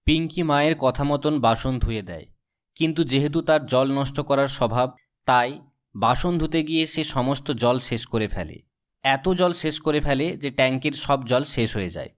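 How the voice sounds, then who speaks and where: A man with a medium-pitched voice narrates a cartoon tale. His delivery is scripted storybook narration. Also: moderate pace.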